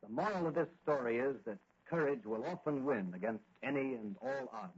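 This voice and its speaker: A man's voice: medium pitch, expressive, fairly quick, slight background noise, natural voice. An actor playing a confident, hearty young-hero patrolman in an earnest 1940s radio acting style. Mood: earnest, solemn. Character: confident, cheerful heroic male patrolman crime-fighter. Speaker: lead actor in radio serial. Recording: muffled lo-fi vintage radio recording.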